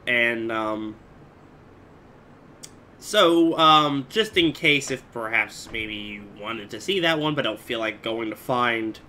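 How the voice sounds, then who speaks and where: Bright-voiced young man rambling casually. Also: mid-range voice. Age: 20s. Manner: rambling, hesitant phrasing. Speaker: reaction video host. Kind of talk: casual reaction commentary. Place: small room at home. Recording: noisy home webcam recording.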